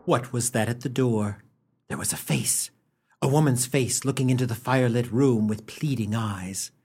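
An actor as an arch, teasing narrator of a mock melodrama on radio, husky and dramatic as he addresses the listener. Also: medium pitch, moderate pace, warm tone. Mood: mock suspense.

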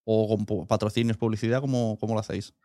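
A man's voice: lowish voice, flat delivery, quick pace, dark tone, dry recording, clean studio sound. A man with a Spain Spanish accent hosting a casual interview, asking informal, loosely phrased questions. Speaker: podcast host, interviewer.

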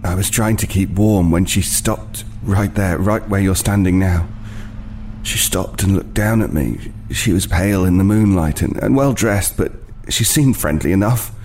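A man's voice: low voice, moderate pace, a little mellow, a little hiss or hum. An actor playing a haunted, homeless old man in an audio drama, stammering hesitantly as he tells a frightening tale. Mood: haunted, wistful. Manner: hesitant, stammering over words.

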